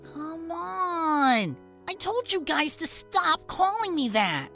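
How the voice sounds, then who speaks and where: A woman's voice: extremely high pitch, big pitch swings, slow pace, gravelly, a bit bright, exaggerated voice. A woman voicing a clever, stubborn but laid-back schoolboy pony colt in a cartoon fan audio story. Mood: annoyed. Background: music.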